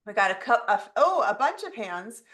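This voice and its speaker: A woman's voice: medium pitch, very animated, a little fast, a bit bright. A woman co-hosting an online workshop over a video call, engaging the audience with curious questions. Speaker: co-host.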